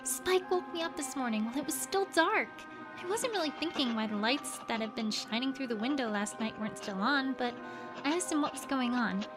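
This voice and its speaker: Woman playing a young unicorn pony, high voice, fretful and earnest, diary read aloud, music behind. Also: expressive, fairly quick. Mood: frightened. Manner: earnest, fretful first-person diary reading. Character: anxious young female unicorn scholar pony. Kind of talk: journal read aloud as a fan audiobook.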